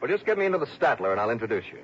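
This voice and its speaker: Fast-talking confident radio detective, vintage recording. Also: male voice, medium pitch, sing-song, a bit bright, muffled recording, faint music behind. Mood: brisk, businesslike. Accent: American. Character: brisk, confident male insurance investigator. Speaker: lead actor and narrator. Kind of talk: early 1960s American radio drama acting.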